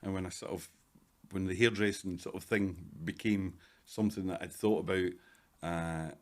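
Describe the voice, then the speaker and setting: A Scottish man in his fifties, a hairdresser guest in a casual interview, talking in a dry, self-deprecating, measured way. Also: low voice, fairly even intonation, a little mellow. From Scotland. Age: 50s.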